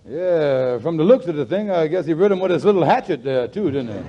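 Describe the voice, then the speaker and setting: A man's voice: on the high side, animated, a little fast, close to an everyday voice. A man playing a wily, folksy, skeptical lodge leader in a 1940s radio comedy, talking in ungrammatical banter. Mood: wry haggling. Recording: muffled 1940s radio recording.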